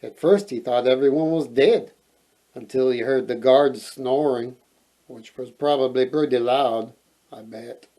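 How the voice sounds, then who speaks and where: A man reading a children's fairy tale aloud in a playful, expressive way, adding joking asides. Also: mid-range voice, unhurried. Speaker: storyteller, host.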